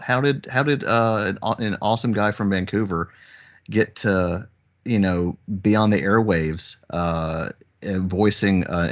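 A man hosting a casual interview, speaking haltingly and with compliments for his guest. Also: on the low side, fairly bright tone, muffled recording. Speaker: interview host.

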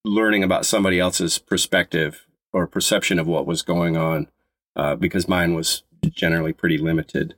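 Low-voiced man with a slight stutter. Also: steady tone, fairly bright tone, no echo. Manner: slight stutter, halting restarts. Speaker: podcast co-host. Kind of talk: casual chat.

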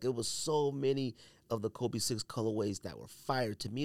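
Male podcast host, lowish voice, slangy and emphatic, casual opinion talk, close dry sound. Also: dry recording.

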